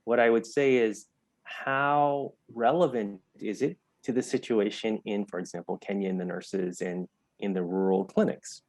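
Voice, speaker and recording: An American man giving thoughtful interview answers in a measured, explanatory way, stressing key words. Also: lowish voice, steady tone, a little mellow, dry recording. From United States. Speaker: interview guest, health entrepreneur.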